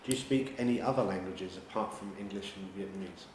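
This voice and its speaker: A man with a lowish voice asks test questions in a calm, measured tone. The room gives his voice a clear echo, and steady background noise sits under it. He sounds like an examiner reading out instructions at an even pace. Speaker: IELTS speaking examiner. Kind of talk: mock speaking test questions.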